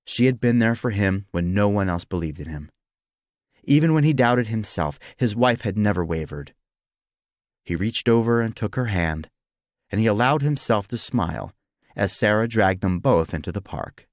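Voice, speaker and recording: Male narrator for fiction audiobook: fairly deep, expressive and dramatic, recorded dry. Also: moderate pace, no room sound. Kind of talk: scripted story narration.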